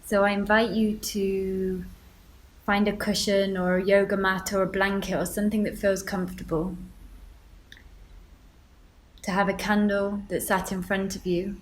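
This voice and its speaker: Woman guiding meditation in an even tone. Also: medium pitch, slight background noise. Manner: gentle, instructive, with deliberate pauses. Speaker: meditation guide. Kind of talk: guided meditation.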